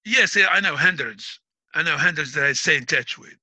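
A middle-aged man speaks with a piercingly bright, tense tone, laying things out as if teaching a class. His delivery is proud and emphatic. The sound is dry and close, with no room echo. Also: on the high side, brisk. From Algeria. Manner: explains like a teacher. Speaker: interviewee. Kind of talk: casual interview talk.

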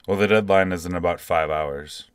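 Man acting a smug, deep-voiced corporate CEO. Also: moderate pace, clean tone, no echo, close to an everyday voice. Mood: matter-of-fact. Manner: smug, nonchalant, obtuse. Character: callous, self-satisfied male video game company CEO. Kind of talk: comic audio drama acting.

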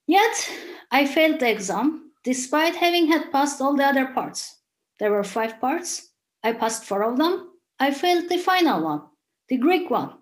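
Casting: young woman, high voice, earnest and indignant testimony. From Cyprus. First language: Turkish. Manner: earnest, indignant, rhetorical questions. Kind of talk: scripted personal testimony.

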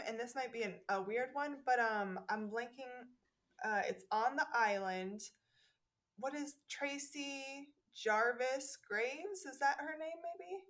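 A woman author chatting as a podcast guest, laughing often and talking with many fillers and restarts. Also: on the high side. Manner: giggly, animated, many fillers. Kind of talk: casual podcast chat.

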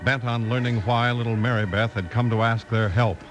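A man announcing in scripted, emphatic 1950s radio style, as a show narrator and pitchman. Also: fairly even intonation, a little fast, music in the background. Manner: emphatic, promotional.

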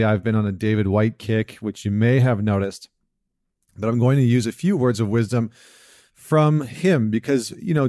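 A man's voice: medium pitch, warm tone, no echo, studio quality. A man hosting a solo talk show, speaking in a reflective, earnest way with fillers and restarts. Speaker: podcast host, men's coach. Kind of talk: casual solo talk with a read passage.

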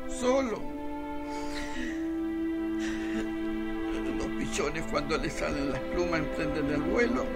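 An actor playing a melancholy, fussing old husband with a Chilean accent in a radio drama. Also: male voice, a little high. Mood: sad, nostalgic. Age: older. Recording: loud music under the voice.

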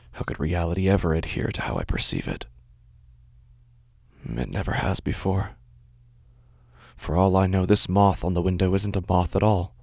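An actor playing a haunted, anguished man who narrates his visions in a halting, breathy, intense audio drama monologue. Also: low voice, dry recording, studio quality, heavily acted. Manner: halting, intense, fragmented phrasing. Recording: music bed behind the voice. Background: music.

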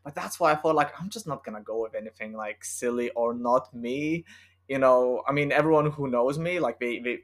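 A man with a Lithuanian accent talks as an author guest on a relaxed podcast. English is his second language, and he answers in a thoughtful, unhurried way, pausing to find his words. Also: medium pitch. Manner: thoughtful, unhurried, with frequent fillers and restarts. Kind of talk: casual podcast interview.

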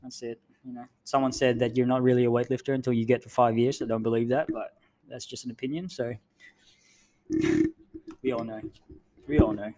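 A man's voice: medium pitch, steady tone, brisk, pauses often, warm tone, low-quality recording. Man, grew up in the Philippines, podcast co-host, casual storytelling, laid-back, lots of um's. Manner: laid-back, self-deprecating, many um's.

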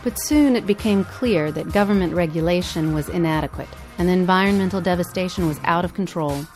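A woman's voice: fairly low pitch, a little fast, darkish tone, soft background music. A woman co-hosting a podcast, reading scripted documentary-style narration. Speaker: podcast co-host.

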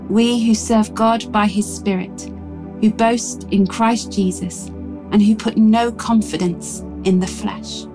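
A woman narrates a scripted devotional with music underneath. Her delivery is measured and reverent, with a reflective feel throughout. Her voice sits at an ordinary middle pitch for a woman. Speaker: devotional reader. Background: music behind the voice.

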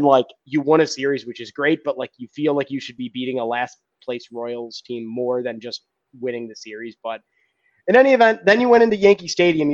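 A man recaps games in a rambling way, full of fillers, his sentences often fading off quietly. The recording sounds dry and a bit dull. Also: medium pitch, no echo. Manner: rambling with many "uh"s, trailing off quietly. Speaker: podcast co-host. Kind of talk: casual sports recap.

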